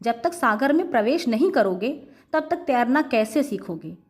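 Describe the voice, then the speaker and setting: A woman voicing a wise male sage in a story, teaching his disciple in a measured, instructive way. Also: on the high side, animated, natural voice. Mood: calm, instructive.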